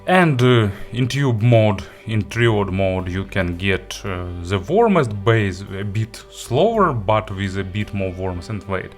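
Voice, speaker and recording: A man who is not a native English speaker gives an unscripted product review, haltingly, often searching for words and rephrasing. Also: fairly low pitch, animated, faint music behind. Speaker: audio gear reviewer and host. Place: indoor studio.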